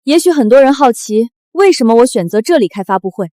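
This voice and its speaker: An actress playing a wronged, resilient young top student in a short drama, speaking in earnest, declamatory phrases. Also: female voice, a little high, expressive, fast, dark tone, no echo, clean studio sound, natural voice. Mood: resolute. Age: young adult.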